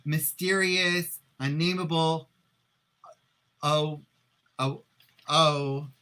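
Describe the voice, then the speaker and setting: An actor plays a cheating husband in a stage comedy, with a bright, tense, sharp-edged voice. He is evasive and panicky, hedging and then pushing hard on his words. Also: on the high side, steady tone, drawn-out words, smooth, exaggerated voice. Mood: evasive, flustered. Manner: hesitant hedging that swells into loud emphatic outbursts. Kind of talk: live play reading, comedic stage acting.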